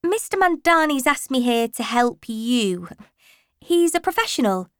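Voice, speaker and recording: A frank young female vet, acted by a woman reading a novel. She speaks in a dry, matter-of-fact way with a lightly high voice. The audiobook sound is close and free of echo. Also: big pitch swings, unhurried, clean tone. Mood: brisk, firm.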